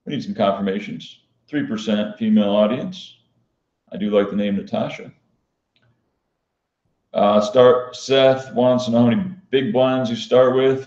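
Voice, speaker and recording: A man chatting casually as a live-stream host, answering viewers in a monotone, flat and matter-of-fact manner. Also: medium pitch. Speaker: professional poker player and vlogger hosting a live stream. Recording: dull, lo-fi sound in echoey room.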